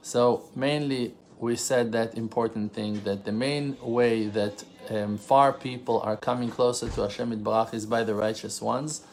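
Earnest Israeli-accented rabbi, Hebrew native, teaching in English with halting, self-correcting delivery and mid-range pitch; faint noise behind. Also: male voice, fairly even intonation, a little mellow. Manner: earnest, emphatic, rhetorical questions; halting, pauses and self-corrections while translating. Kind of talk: spontaneous religious teaching.

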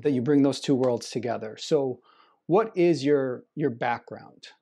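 A man's voice: mid-range voice, unhurried, warm tone, slightly rough. A man hosting a podcast, introducing and interviewing in a conversational way. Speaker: podcast host.

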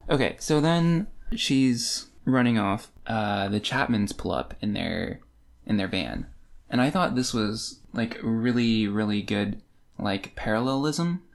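Podcast co-host with noticeable vocal fry, mid pitch, informal and wry book chat. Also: lively intonation, slow pace. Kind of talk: casual book discussion chat.

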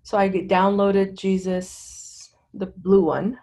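A middle-aged woman from Lebanon giving candid personal testimony as an interview guest, in a halting, conversational way. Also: mid-range voice, level tone, mellow.

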